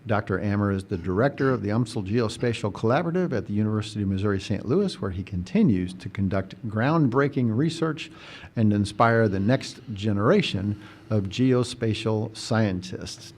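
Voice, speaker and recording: Low-voiced man hosting a podcast. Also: lively intonation, moderate pace, a little mellow. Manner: earnest, reads a formal introduction then chats with fillers. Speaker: podcast host, recruitment coordinator. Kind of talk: scripted introduction and interview.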